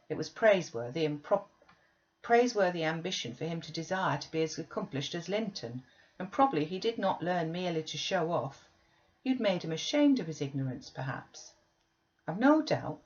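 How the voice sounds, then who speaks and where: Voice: medium pitch, expressive, moderate pace, few pauses, fairly bright tone. Narrator doing an old-novel housekeeper lady telling someone off, earnest and protective, bit muffled. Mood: reproving, earnest. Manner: reproving, earnest. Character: earnest, scolding woman housekeeper, protective and reproving.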